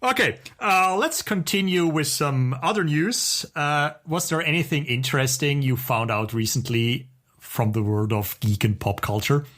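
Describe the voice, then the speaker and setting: A man's voice: a little high, a little slow, fairly bright tone. A man co-hosting a podcast, talking in a casual conversational style. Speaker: podcast co-host.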